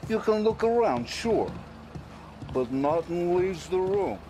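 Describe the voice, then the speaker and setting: An actor playing a boisterous male weapons supplier for a gang, loud and laughing in a video game. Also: sits high, very animated, takes it slowly, soft background music, exaggerated voice, low-quality recording. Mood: jovial. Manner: boisterous, laughs often, slangy.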